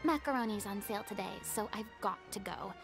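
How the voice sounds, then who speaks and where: A woman's voice: medium pitch, fairly quick, gravelly, natural voice. A woman voicing a proud, theatrical young woman in a game, grandiose and boastful. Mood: haughty. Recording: game audio with music behind.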